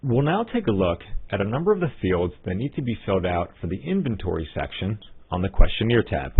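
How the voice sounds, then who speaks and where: A man with a fairly low voice narrates a software tutorial through a narrow, phone-like recording. His delivery is methodical and scripted, yet his intonation moves up and down a good deal as he explains each step. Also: husky. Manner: methodical, instructional. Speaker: software trainer. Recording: muffled, phone-like narrow-band audio.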